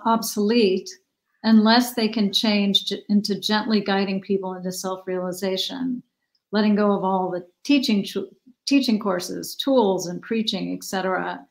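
A woman's voice: medium pitch, fairly even intonation, moderate pace, vocal fry, poor audio. A middle-aged woman moderating an online session, reading a viewer's question aloud from her living room on a video call.

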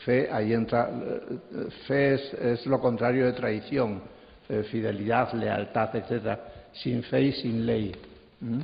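A man speaking on a panel, giving erudite, reflective commentary in halting phrases. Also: mid-range voice, fairly even intonation, gravelly. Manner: halting, pausing between phrases. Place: stage of a large auditorium. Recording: muffled, echoing auditorium sound.

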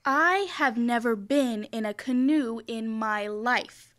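This is a teenage girl acting a patient, skeptical wife in an old-time radio comedy. Her voice sits fairly high, and she takes her lines slowly and dryly. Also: heavy background noise. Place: school library room, stage microphone.

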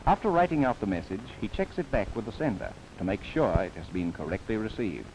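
A man's voice: on the low side, very animated, fairly quick. A man narrating a 1930s government documentary film, with playful asides. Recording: old 1939 film soundtrack with faint hiss.

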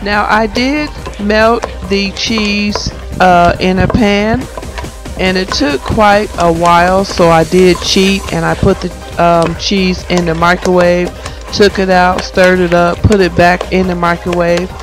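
Chatty woman with a hoarse cold voice. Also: fairly low pitch, fairly even intonation, slow pace, music in the background. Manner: chatty, enthusiastic. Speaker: cooking vlogger. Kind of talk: casual cooking vlog. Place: parked car. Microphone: phone camera held close.

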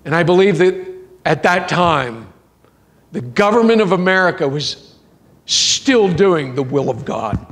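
A man preaching a sermon in a church, with rising emphatic phrases, drawn-out endings and rhetorical questions to the congregation. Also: fairly high pitch, lively intonation, a bit bright. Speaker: pastor.

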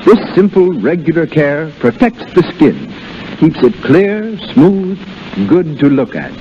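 Animated male 1930s radio announcer. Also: a little high, measured pace. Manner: polished, salesmanlike. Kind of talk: 1930s radio advertisement read. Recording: muffled 1930s radio, faint noise.

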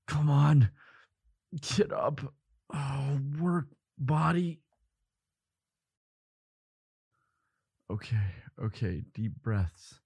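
A devoted, fiercely protective young lover, acted by a man in a dramatic audio roleplay. He speaks slowly and emotively, in a husky voice that moves widely in pitch. His delivery halts and breaks with feeling. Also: dry recording, studio quality, heavily acted. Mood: pained, dazed. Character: devoted boyfriend of a vampire, protective, frantic, defiant.

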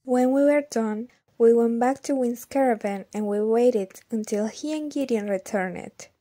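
A woman as a mellow, gentle storyteller. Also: fairly high pitch, unhurried. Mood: gentle storytelling. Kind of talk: audio drama narration.

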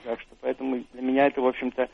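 A man's voice: medium pitch, completely flat delivery, racing, creaky. Older man, thin radio-band voice. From Russia. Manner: thoughtful, hesitant, many fillers and restarts. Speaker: author and university professor, studio guest. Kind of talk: radio interview answers. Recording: narrow phone-like radio sound, dry.

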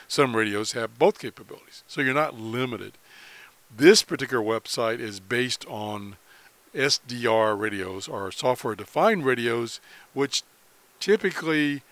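A man presenting a casual, unscripted screen-capture tutorial as a hobbyist, speaking haltingly with pauses in the middle of sentences. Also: medium pitch, fairly bright tone, no echo. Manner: halting, pauses mid-sentence, often clears throat.

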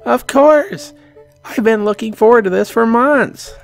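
A man voicing a bright, eager teenage schoolgirl in an anime visual novel, perky and enthusiastic. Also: very high voice, measured pace, gravelly, faint music behind, pitched above the performer's own voice, exaggerated voice. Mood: cheerful, eager.

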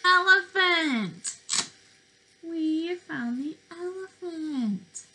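A young adult woman reading interactively to small children as a teacher, expressive and sing-song, with questions and pauses. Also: very high-pitched, a little hiss or hum, rough recording. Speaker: speech-language pathologist presenting to preschoolers. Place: bedroom, indoors.

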